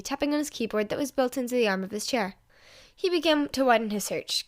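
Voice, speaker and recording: A woman reading scripted fiction aloud as a storyteller. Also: on the high side. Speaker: fan fiction reader and podfic host.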